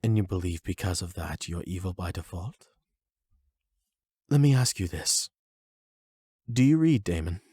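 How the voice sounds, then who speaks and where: A man voicing a calm, erudite therapist in an anime audio roleplay, speaking in a measured, formal, gently probing way. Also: sits low, breathy edge, dry recording, studio quality. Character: calm, erudite male therapist and demi-human expert.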